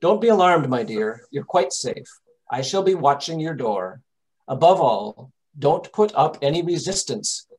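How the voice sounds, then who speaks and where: A man playing a calm gentleman detective in an old-time radio drama, measured, reassuring and authoritative. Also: fairly high pitch, rough recording. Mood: firm, instructing.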